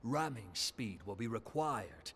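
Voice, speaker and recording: An actor playing a shrewd, deferential military marshal in a fantasy game, speaking formally, deliberately and courteously. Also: male voice, fairly low pitch, sing-song, a bit bright, slight background noise, exaggerated voice. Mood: courteous, assured. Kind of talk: fantasy video game cutscene acting.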